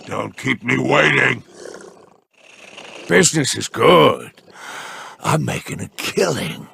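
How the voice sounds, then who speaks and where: A sly alien crime boss from a video game, with a deeply gravelly, growling voice. He slides widely up and down as he trades in mock courtesy and unhurried menace. There is a faint noise behind him. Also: expressive, pushed and bright, heavily acted. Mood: smug, sly. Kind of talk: video game character voice lines.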